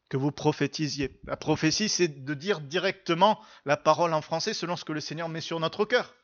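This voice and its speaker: A man with a noticeably high voice delivers a church sermon. He is earnest and emphatic, moving between storytelling and teaching and repeating phrases to press his point. The recording sounds a little dull and lo-fi. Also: fairly bright tone. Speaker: preacher.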